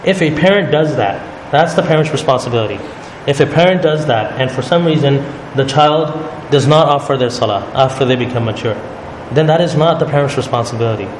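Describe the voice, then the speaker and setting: A man leading a religious study circle, explaining calmly and often checking in with "right?". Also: mid-range voice, a little fast, few pauses. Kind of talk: religious talk to a group. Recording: echoey hall with noise.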